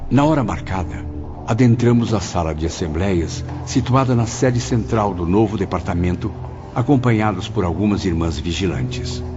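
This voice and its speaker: Guy playing a courteous reflective spirit telling his memoir, low voice, formal audiobook drama, muffled w music. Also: moderate pace. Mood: reflective narration. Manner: formal, expressive. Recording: dull lo-fi audio under music.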